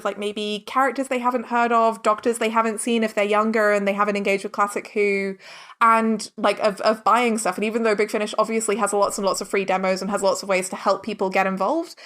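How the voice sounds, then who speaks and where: A woman's voice: medium pitch, a bit bright. A talkative, informal woman speaking emphatically as a guest in a casual podcast interview. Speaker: interviewed audio drama director and producer.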